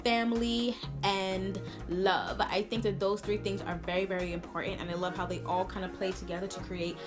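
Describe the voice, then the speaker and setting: A woman's voice: medium pitch, little pitch movement, moderate pace. A woman from Brooklyn, chatting casually and expressively to camera as a video blogger, stressing points by repeating words. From Brooklyn, New York, United States. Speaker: video blogger answering viewer questions. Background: music.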